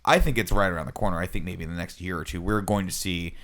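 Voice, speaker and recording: Male podcast co-host, thirties, American, gravelly and quick, rambling explainer in casual panel chat. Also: low voice, a bit bright. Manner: earnest, rambling explainer who poses questions. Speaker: podcast co-host introducing his topic.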